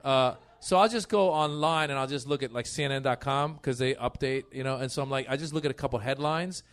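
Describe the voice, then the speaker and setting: A man's voice: mid-range voice, fast. A man chatting and joking casually as a comedian guest on a live panel.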